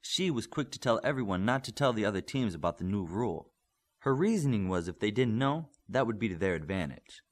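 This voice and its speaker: A man's voice: lowish voice, sing-song, brisk. A man reading a scripted superhero novel as an audiobook narrator.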